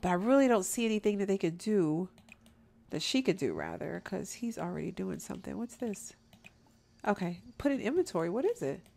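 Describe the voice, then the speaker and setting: A woman's voice: mid-range voice, big pitch swings, brisk, vocal fry, a little mellow. Chatty woman with a stuffy nose. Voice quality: nasal from allergies. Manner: chatty, addresses viewers as y'all, laughs often. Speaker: gameplay commentator. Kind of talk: casual let's play commentary.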